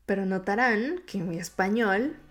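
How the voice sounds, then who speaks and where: A woman's voice: medium pitch, lively intonation, unhurried, soft background music. A woman with a Mexico City accent, a native Japanese speaker, hosting a solo podcast in a chatty, informal, slightly sing-song way. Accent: Mexico City Spanish. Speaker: podcast host.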